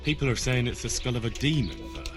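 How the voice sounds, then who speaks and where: Actor as earnest young investigator, lively intonation. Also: male voice, medium pitch, fast, over music, natural voice. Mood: inquisitive, earnest. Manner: inquisitive, polite, plain-spoken. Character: earnest young medieval man investigating mysteries. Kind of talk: video game dialogue acting.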